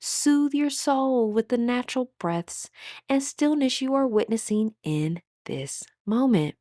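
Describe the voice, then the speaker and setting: Higher-pitched woman guiding a meditation. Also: animated, mellow, no echo, studio quality. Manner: measured, instructional, soothing delivery. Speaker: guided meditation instructor. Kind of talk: scripted guided meditation.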